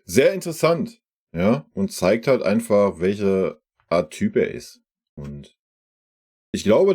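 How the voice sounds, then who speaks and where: A man with a low, dark voice talks through a press conference clip as a fan. His delivery is casual and conversational, full of little fillers. The tone stays informal throughout. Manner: chatty, uses fillers like "ja" and "ne". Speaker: fan channel host reacting to a press conference. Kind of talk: casual reaction commentary.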